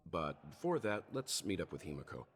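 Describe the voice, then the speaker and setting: A man playing a seasoned, composed gentleman traveler in a video game, asking questions in a deliberate, probing way. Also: deep voice, very animated, slightly rough, full character voice. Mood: composed, inquisitive. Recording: faint game music behind.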